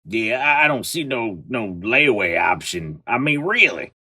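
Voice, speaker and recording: A man's voice: mid-range voice, big pitch swings, unhurried, bright, forceful tone, husky, pitched below the performer's own voice, exaggerated voice. A man voicing a boorish, dim-witted country coach with a Southern US accent and a rambling, blustering, folksy manner, in a comic sketch. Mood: grumbling, dismissive.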